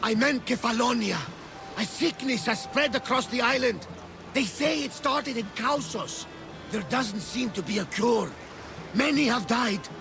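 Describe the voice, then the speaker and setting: An actor playing a loyal, devout older ship captain with a gravelly voice in a video game. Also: male voice, very high-pitched, lively intonation, measured pace, exaggerated voice. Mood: concerned. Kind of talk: video game cutscene acting. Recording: background music under the voice.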